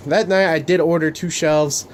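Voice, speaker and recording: Halting young man with a cold. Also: fairly high pitch, a little hiss or hum. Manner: halting, many fillers and restarts. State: coming down with a cold. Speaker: Lego channel host. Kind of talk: casual room tour vlog. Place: bedroom studio.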